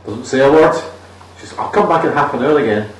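A young man trades dry, sarcastic remarks while reviewing a film on a podcast. His voice sits at a middling pitch. The sound is roomy and set back from the mic, with a faint hiss of ambience behind it. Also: quick pace, far from the mic. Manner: dry, sarcastic, laughs at his own jokes. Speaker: podcast co-host. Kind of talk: casual film review chat.

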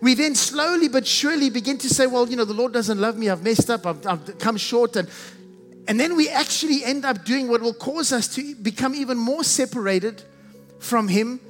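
High-voiced South African man preaching. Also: expressive, fairly bright tone. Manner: emphatic, repeats strings of synonyms, stretches key phrases slowly. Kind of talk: sermon. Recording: reverberant hall with faint music behind.

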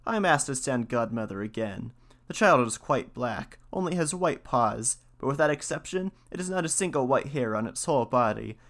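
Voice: mid-range voice, lively intonation, normal speed, fairly bright tone. A person voicing a sly, greedy, selfish female cat with a cool, offhand manner in a storybook fairy tale. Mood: smooth, scheming.

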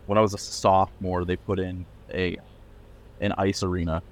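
A man's voice: sits low, little pitch movement, moderate pace. A middle-aged man from Pennsylvania, a record label owner, chatting loosely in a podcast interview with many fillers and restarts. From Pennsylvania, USA.

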